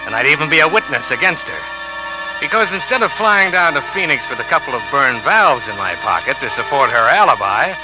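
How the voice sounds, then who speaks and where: A man's voice: medium pitch, lightly acted. An actor playing a desperate man who narrates his own failed murder plot in a 1940s radio drama, with tense, driven narration. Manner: tense first-person narration, rising to panic. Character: desperate man, scheming lover turned trapped victim. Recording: muffled old radio broadcast with music.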